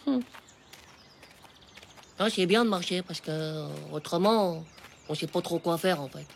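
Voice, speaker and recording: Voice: mid-range voice, measured pace, creaky. Slangy, flustered cartoon schoolboy. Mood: awkwardly earnest. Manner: slangy, stammering, defensive. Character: cocky, easily flustered ten-year-old schoolboy. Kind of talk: animated cartoon dialogue.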